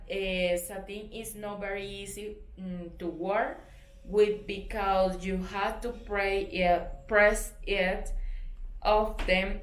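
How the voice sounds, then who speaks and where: Slow, careful Colombian woman, roomy echo. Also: mid-range voice. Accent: Colombian Spanish. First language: Spanish. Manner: careful, hesitant non-native English with fillers and apologies. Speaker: sewing tutor. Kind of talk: sewing tutorial narration.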